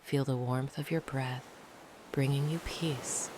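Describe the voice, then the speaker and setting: A gentle, breathy, often whispering meditation guide speaking slowly and calmly, with long pauses between phrases. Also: medium pitch. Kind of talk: slow, soothing guided meditation narration. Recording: noisy, ambient sound behind the voice.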